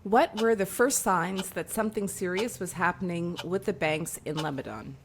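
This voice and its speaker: A woman's voice: fairly low pitch, normal speed, a little hiss or hum. A woman hosting a casual, playful question-and-answer interview. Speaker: interview host.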